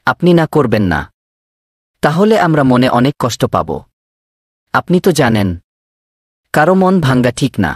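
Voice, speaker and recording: A man's voice: mid-range voice, sing-song, normal speed, long pauses, husky, no room sound, studio quality. A man voicing an eager village man in a cartoon, earnest and persuasive as he lays out plans. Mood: pleading. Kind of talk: cartoon voice acting.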